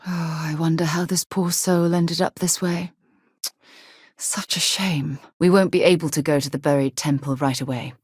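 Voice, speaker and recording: A woman's voice: low-pitched, steady tone, normal speed, a little mellow, breathy edge, dry recording, studio quality. A woman playing a thoughtful, principled space explorer in a video game, speaking in an articulate, earnest and composed way. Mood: reflective. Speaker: video game companion character.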